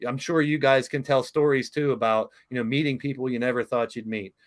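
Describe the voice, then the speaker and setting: A man's voice: mid-range voice, steady tone, brisk. A middle-aged man hosting a talk show interview, chatting casually in an enthusiastic, emotional, rambling way.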